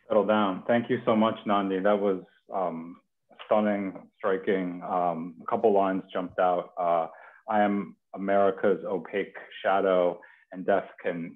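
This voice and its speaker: Man, lowish voice, casual host, halting, headset video call, slightly muffled sound. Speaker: host of a poetry reading series. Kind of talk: casual, unscripted introduction. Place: home kitchen.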